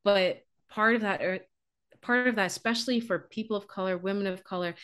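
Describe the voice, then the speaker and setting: A woman's voice: mid-range voice, a little fast, a bit bright, clear, resonant tone. Earnest, reflective woman from the Bahamas. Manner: earnest, reflective, laughs easily. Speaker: business coach. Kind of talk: podcast interview guest.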